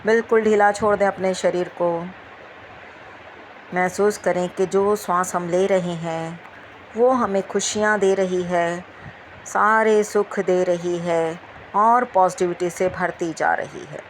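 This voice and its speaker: A woman leading a guided meditation, giving gentle instructions with repeated phrases and long pauses. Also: on the low side, steady tone, slight background noise.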